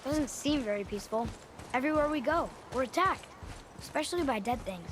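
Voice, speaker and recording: A woman's voice: sits high, expressive, a little fast, in a large hall, background noise. A performer playing a curious, earnest young boy in a video game, talkative, inquisitive and eager. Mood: questioning.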